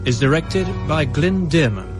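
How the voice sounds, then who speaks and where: A man with a British accent reading scripted radio drama credits as an announcer, formal and measured. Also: a little high, lively intonation, over music. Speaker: continuity announcer.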